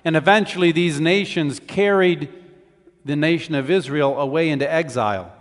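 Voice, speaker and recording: A man giving a conversational sermon as a preacher, in a measured, explanatory way. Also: a little high. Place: large church hall. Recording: reverberant hall sound.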